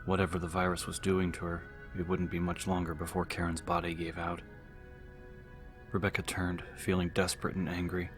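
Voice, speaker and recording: A man with a low voice narrates a horror audiobook. The reading is dramatic and scripted, with quiet music underneath.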